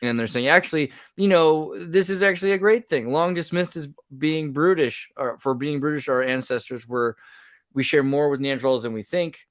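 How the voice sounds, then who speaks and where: A man hosting a podcast, talking casually in an animated, rambling way that often breaks off mid-sentence. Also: fairly high pitch, moderate pace, clean tone, no room sound, clean studio sound. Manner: animated, rambling, self-interrupting. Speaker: podcast host. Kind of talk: casual conversational podcast chat.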